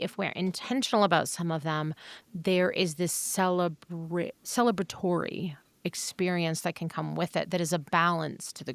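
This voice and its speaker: A woman co-hosting a podcast, talking in a thoughtful, measured, reflective way. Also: lowish voice, gravelly, fairly bright tone, clean tone, no room sound. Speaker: podcast co-host.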